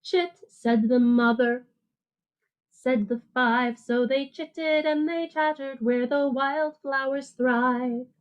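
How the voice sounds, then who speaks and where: A woman teacher reading a picture book aloud to children in an animated, sing-song way, with simple, casual explanations. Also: high voice, slow pace, clean tone.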